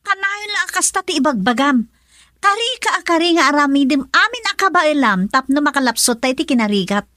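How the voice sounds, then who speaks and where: A woman's voice: sits high, expressive, brisk, bright, forceful tone, dry recording, studio quality. An actress playing a discontented young wife who nags and complains at her poor husband in an Ilocano radio drama. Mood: exasperated. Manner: complaining, exasperated.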